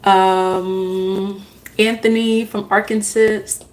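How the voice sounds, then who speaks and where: A woman's voice: medium pitch, little pitch movement, very slow. A woman whose first language is not English, casually chatting with viewers in a live stream as a tarot reader, greeting them by name and laughing at herself.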